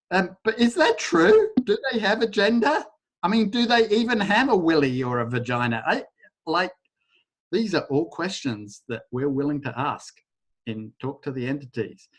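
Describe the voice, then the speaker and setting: A man leading a live online workshop over a video call, talking in a casual, rambling way and softly chanting a repeated phrase. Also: medium pitch. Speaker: workshop facilitator.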